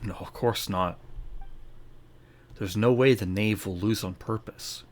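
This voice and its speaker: Low-voiced man casually commentating a game. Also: steady tone, a little slow. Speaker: gaming streamer. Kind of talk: casual live playthrough commentary.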